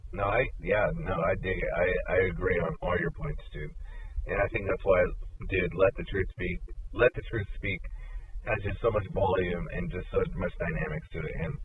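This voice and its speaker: A man's voice: low voice, steady tone, moderate pace. A man in his thirties hosting an interview, enthusiastic and slangy, often stammering and saying "dude". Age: 30s. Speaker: interview host. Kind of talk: casual podcast interview. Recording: lo-fi phone-like audio with light hiss.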